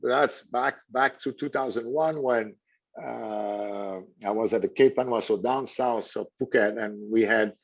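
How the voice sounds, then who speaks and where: A man answers an interviewer's questions, stopping and restarting often and leaning on "you know" between phrases. His voice sits in an ordinary middle pitch. The recording is a little dull and lo-fi. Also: gravelly. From Switzerland. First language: French. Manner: halting, many "you know" fillers and repeated words. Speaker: hotel general manager. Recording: dull lo-fi audio.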